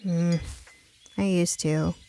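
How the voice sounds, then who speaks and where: A woman voicing a frustrated, stubborn young female protagonist in an audiobook, gruff and huffy, stressing her words. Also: fairly low pitch, fairly even intonation, takes it slowly, mellow, slight background noise. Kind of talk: audiobook character dialogue.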